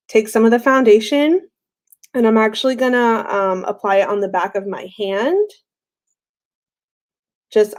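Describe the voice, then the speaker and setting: A woman's voice: mid-range voice, a little mellow. Young woman, livestream beauty host, slightly under the weather, chatty with lots of "um"s, mid-range voice. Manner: chatty, friendly, frequent "um" fillers and light laughs. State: recovering from illness, voice a little off. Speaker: makeup tutorial host. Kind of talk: casual livestream makeup tutorial.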